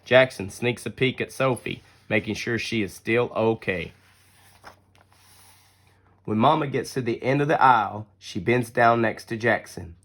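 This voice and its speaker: A man reading a children's picture book aloud as an expressive, deliberate storyteller. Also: mid-range voice. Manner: deliberate storytelling with acted-out dialogue. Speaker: story reader.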